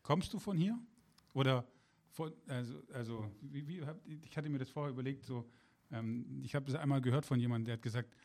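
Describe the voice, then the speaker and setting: A man's voice: medium pitch, brisk, vocal fry, a little mellow. A man hosting a casual conversation, asking questions and rephrasing them as he goes. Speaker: host.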